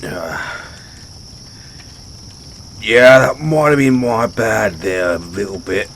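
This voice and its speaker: A man's voice: fairly low pitch, fairly bright tone, slight background noise. A man playing a big, brash orc barbarian in a fantasy audio roleplay, speaking colloquially straight to the listener. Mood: sheepish. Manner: colloquial, halting when defensive. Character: big, brash male orc barbarian, rambunctious but sheepish. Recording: nature ambience with crickets behind. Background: outdoor night ambience, crickets.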